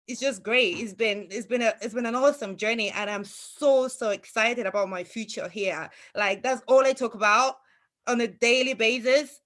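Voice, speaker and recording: A woman's voice: on the high side, a bit bright. A woman speaking on a video call as a student guest, giving an enthusiastic, earnest testimonial. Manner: enthusiastic, earnest, somewhat halting.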